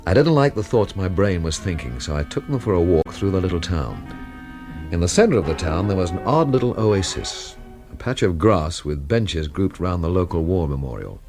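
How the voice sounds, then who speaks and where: A man's voice: low voice, animated, normal speed, over music. An actor playing a wry, thoughtful man who narrates a radio mystery drama in a dry, understated manner. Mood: reflective.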